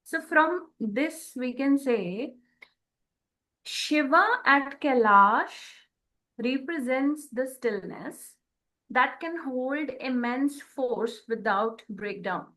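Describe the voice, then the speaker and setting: A woman in reflective spiritual conversation, speaking in short phrases with frequent pauses. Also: high-pitched, pushed and bright, low-quality recording. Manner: halting, pausing between short phrases. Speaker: podcast co-host.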